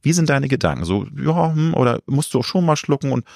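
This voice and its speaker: A man's voice: medium pitch, sing-song, fast talker, creaky, darkish tone, no echo, studio quality. A man, hosting a casual interview in an informal, searching way. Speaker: podcast host, interviewer.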